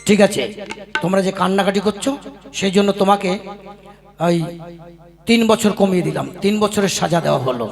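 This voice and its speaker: A man's voice: high voice, fairly quick, vocal fry, pauses often. A man voicing a bossy, hot-tempered king in a comic puppet-show cartoon, giving commands and passing sentences. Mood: stern. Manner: commanding, scolding, pronounces sentences. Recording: music bed under the voice. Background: music.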